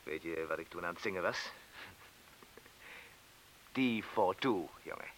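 A gruff former mineworker in a radio drama, low and forceful in voice. He is boastful yet fearful underneath, talks in rough colloquial bursts and prods with questions. A faint hiss lies over the old recording. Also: a little slow, poor audio. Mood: brooding, reminiscing. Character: rough ex-miner, boastful yet fearful, confessing his cowardice. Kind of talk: radio play acting.

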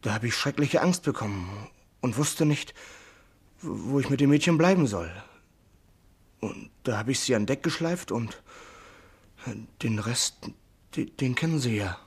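A young sailor burdened by guilt, in a 1950s radio play. The voice is rough and husky, the delivery very slow, halting and anguished. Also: male voice, mid-range voice, expressive. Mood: ashamed, anguished. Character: guilt-ridden young sailor confessing, haunted. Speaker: actor in a radio crime play.

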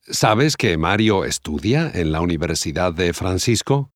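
A man acting a friendly conversational part in a scripted language-course dialogue, enunciating clearly. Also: low-pitched, very animated, unhurried, dry recording, clean studio sound.

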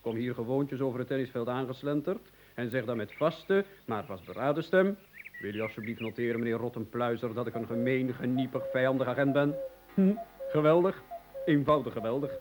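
An actor playing a fussy, excitable, bewildered man in an absurdist radio play, pedantic and theatrical, swinging between hushed and agitated delivery. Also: mid-range voice, fairly quick, lightly acted. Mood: mock formal. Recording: hissy old radio drama recording.

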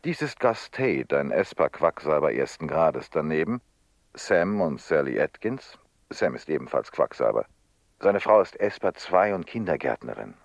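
An actor playing a worldly, gallant telepathic police prefect in a radio play, with a measured, insistent manner. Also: male voice, very deep voice, big pitch swings, gravelly, no room sound, exaggerated voice. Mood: urbane, playful. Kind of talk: German radio play acting. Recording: dull, dry studio radio recording.

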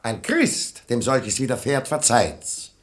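An actor playing a level-headed, frank gentleman who reasons pointedly and articulately in a classical verse comedy radio play. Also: mid-range voice, wildly swooping pitch, slow pace. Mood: measured, appealing.

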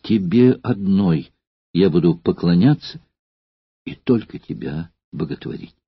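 An anguished gladiator pleads with his beloved in a low, husky, trembling voice that is close to tears, as a male reader acts him out. The sound is dull and dry, with little room around it. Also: expressive, unhurried, no echo, heavily acted. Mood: anguished pleading.

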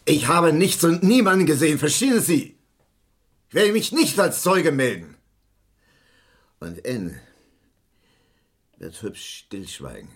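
A man's voice: a little high, sing-song, slow pace, long pauses, husky, exaggerated voice. An actor playing a cagey, mercenary, stubborn man in a German crime radio play, sly and guarded in manner. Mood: defiant. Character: cagey, mercenary male witness, cynical and stubborn. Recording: vintage radio play recording.

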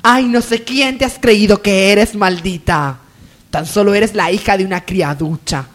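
A woman plays the haughty lady of a hacienda in a spoof radio soap. Her medium-pitched voice is melodramatic and imperious, the voice of a born schemer. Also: measured pace, lightly acted. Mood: outraged. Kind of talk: parody radio soap opera acting.

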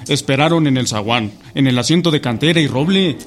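A man's voice: medium pitch, lively intonation, fairly quick, natural voice. A man playing a folksy storyteller recounting anecdotes in a radio drama. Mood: storytelling.

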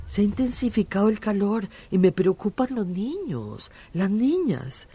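An actress playing a worried, distressed parent in a radio drama, speaking with deliberate concern. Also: female voice, mid-range voice, very animated, mellow, a little hiss or hum. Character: worried parent anxious about heat and children.

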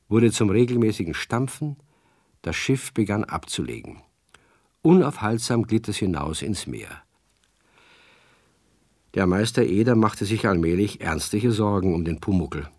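Low-pitched male storyteller, close and dry. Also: a little mellow, no echo. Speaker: narrator. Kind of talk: scripted children's story narration.

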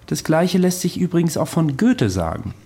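An actor playing a wry, ancient immortal man recounting his past in a measured way, in a radio drama. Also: fairly high pitch, very animated, a little mellow, breathy edge, heavily acted. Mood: wry recollection.

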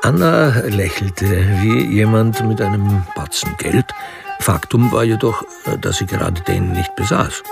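Gravelly low-voiced male narrator with music. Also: lively intonation, a little slow. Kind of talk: scripted radio crime drama narration.

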